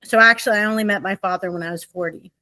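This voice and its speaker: A woman's voice: medium pitch, very fast, bright, forceful tone, no echo. Candid, talkative woman in her forties. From Oregon, USA. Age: 40s. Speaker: podcast guest. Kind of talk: candid personal conversation.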